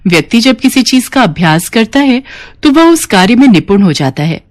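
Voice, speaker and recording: A woman's voice: on the high side, very animated, moderate pace, no room sound, clean studio sound, voice pushed up. A woman voicing a kindly, wise fairy who reassures and instructs formally in a fairy tale. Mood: reassuring, wise.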